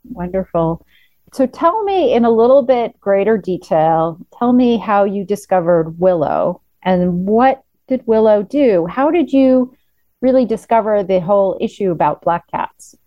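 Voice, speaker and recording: A woman's voice: mid-range voice, expressive, a little slow, no room sound, lo-fi sound. A woman hosting an interview show, delivering a scripted introduction and prepared questions.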